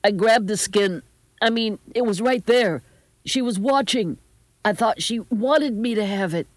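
A woman's voice: medium pitch, measured pace, no room sound, pitched above the performer's own voice, natural voice. A woman voicing a distraught, foolish young grandson who pleads and makes excuses in a panicky way. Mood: defensive.

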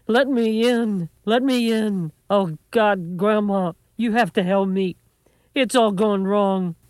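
A woman's voice: mid-range voice, slow, a little mellow, no echo, clean studio sound, pitched above the performer's own voice, close to an everyday voice. A woman voicing a distraught, foolish young grandson who pleads and makes excuses in a panicky way. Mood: desperate.

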